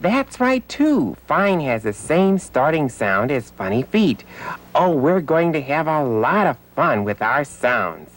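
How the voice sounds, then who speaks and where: A woman's voice: sits low, big pitch swings, takes it slowly, exaggerated voice. Eager, bouncy childlike boy puppet. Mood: cheerful, excited. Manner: repetitive, emphatic teaching of letter sounds. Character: eager childlike male puppet letter with big funny feet. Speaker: puppet character on educational show. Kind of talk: children's educational puppet show dialogue.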